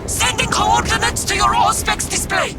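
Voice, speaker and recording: Frantic army officer yelling reports to his lord, very high strained pitch, loud music. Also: fairly even intonation, moderate pace. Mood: urgent. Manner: urgent, military, deferential. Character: urgent, dutiful soldier officer shouting battlefield reports. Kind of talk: video game voice acting. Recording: loud battle music behind.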